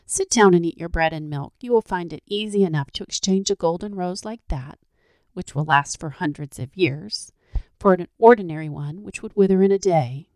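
A woman voicing a doting, fatherly king who speaks gently in a storybook reading. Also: on the low side, normal speed, dry recording, natural voice. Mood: soothing.

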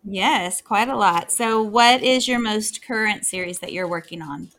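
A woman's voice: medium pitch, unhurried, fairly bright tone. A woman hosting a writing podcast, chatting eagerly with her guest. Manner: upbeat, eager. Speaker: podcast host. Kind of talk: casual interview chat.

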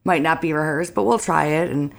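A woman singer with a raspy voice, chatting upbeat and laughing easily as a guest on a talk show. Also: low voice, fairly quick. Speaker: singer and band leader, guest. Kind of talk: casual interview chat.

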